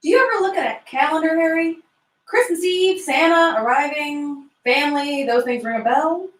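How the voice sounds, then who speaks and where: A woman playing a brisk working mother in her thirties in a script reading. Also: high voice, lightly acted. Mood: exasperated. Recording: echoey room, distant mic.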